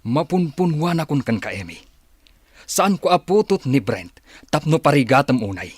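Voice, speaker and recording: Man acting husky, expressive protective father. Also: fairly high pitch, moderate pace, no echo, studio quality. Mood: reproachful. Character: protective, pleading husband and father. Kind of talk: Ilocano radio drama acting.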